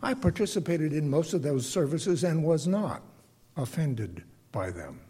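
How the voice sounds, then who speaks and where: An older man with a bass singing voice gives a reflective, prepared lay sermon to a congregation, speaking deliberately and haltingly with pauses. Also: sing-song, mellow, husky. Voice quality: self-described bass voice.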